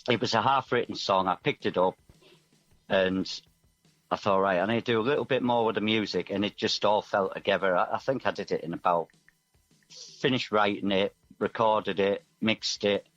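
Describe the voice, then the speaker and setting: A middle-aged man with a low voice talks casually as a musician being interviewed. He sounds modest and thoughtful, on a slightly muffled lo-fi recording with music playing underneath. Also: moderate pace, fairly bright tone. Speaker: musician guest. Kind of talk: casual radio interview chat.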